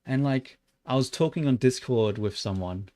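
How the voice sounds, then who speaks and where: A young Australian man livestreaming while he works, chatting loosely with lots of "like" and muttering curses at his software. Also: mid-range voice, moderate pace, no room sound, clean studio sound. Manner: rambling and loose, heavy on "like", muttering and swearing at the software. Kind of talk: casual livestream chat while 3D modeling.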